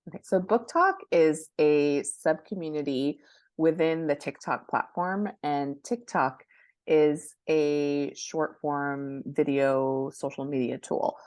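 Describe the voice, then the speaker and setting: Low-voiced woman, close dry sound. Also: takes it slowly, no room sound. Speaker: guest expert, book marketing professional. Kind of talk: conversational interview answers.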